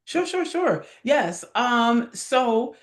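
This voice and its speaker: A woman's voice: fairly high pitch, big pitch swings, very slow. A woman speaking as an interview guest, chatting casually and enthusiastically about directing. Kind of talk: casual promotional interview chat. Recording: roomy, echoey sound.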